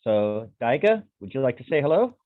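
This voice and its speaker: A man welcomes viewers to his channel, his voice rising and falling with lively pitch. He keeps it low-key as he introduces the host. The sound is thin and tinny, like a phone line. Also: normal speed. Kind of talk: show introduction.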